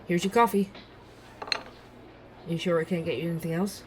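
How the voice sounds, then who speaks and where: A man acting a nervous, eager young barista and podcaster in an urban fantasy audio drama, halting and apologetic. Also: fairly high pitch, noisy background, close to an everyday voice. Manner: halting, self-interrupting, awkward.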